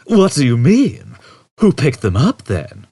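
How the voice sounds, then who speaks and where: Guy playing a cocky whiny space outlaw in a storybook, raised pitch, swoops around, irritable. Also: wildly swooping pitch, unhurried, dark tone, husky, no echo, studio quality, exaggerated voice. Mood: annoyed. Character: brash, irritable, conceited male space fugitive.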